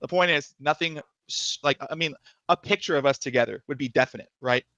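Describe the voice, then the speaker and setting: A man with a soft, higher voice who argues in an animated, defensive way, stumbling into restarts. He talks quickly with a bright, tense edge. He comes through a dry, slightly muffled call line. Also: dry recording. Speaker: guest. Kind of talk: heated casual debate on a livestream.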